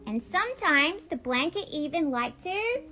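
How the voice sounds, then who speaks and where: High-pitched woman reading a storybook aloud. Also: big pitch swings, unhurried, sharp tone, soft background music. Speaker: vlogger reading a picture book aloud. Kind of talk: read-along storytelling with casual asides.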